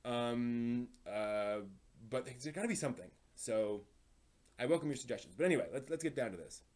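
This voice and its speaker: A man with a medium-pitched voice hosts a casual live stream. He talks off the cuff and thinks aloud as he goes, often stalling and repeating a word before he carries on. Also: animated, normal speed, a little mellow.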